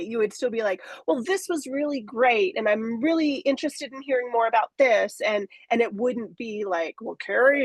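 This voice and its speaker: A woman's voice: mid-range voice, no room sound. Reflective woman in her forties, dry sound. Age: 40s. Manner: reflective, halting, many fillers and restarts. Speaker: coaching client. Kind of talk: candid conversational interview.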